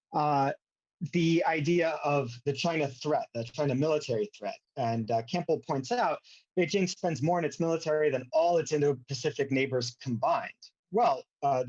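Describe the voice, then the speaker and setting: A man's voice: mid-range voice, darkish tone. Male lecturer, dull lo-fi sound. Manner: wry, sarcastic asides. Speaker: university lecturer. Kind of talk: informal academic lecture. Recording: dull lo-fi audio.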